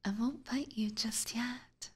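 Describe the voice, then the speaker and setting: A woman's voice: fairly high pitch, fairly even intonation, exaggerated voice. Whispering, obsessive vampire catgirl played by a woman. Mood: teasing, menacing. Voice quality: breathy. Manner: slow, intimate, teasing whisper. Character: obsessive, seductive female vampire catgirl, sweetly menacing. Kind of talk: whispered ASMR roleplay. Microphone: close binaural microphone.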